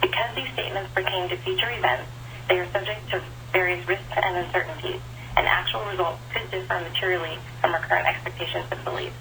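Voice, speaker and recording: A woman reading a formal scripted statement in a measured way as an investor relations director, over a telephone conference line. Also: on the low side, steady tone, creaky, bright, forceful tone, slight background noise, rough recording. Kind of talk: formal scripted disclaimer reading on an earnings call.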